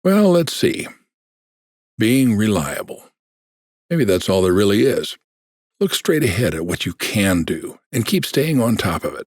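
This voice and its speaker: An actor playing a self-deprecating older man giving frank, folksy advice in a manga drama dub, with a gravelly voice. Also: on the low side, sing-song, a little slow, pauses often, dry recording, studio quality. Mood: frank, rueful. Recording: music under the voice.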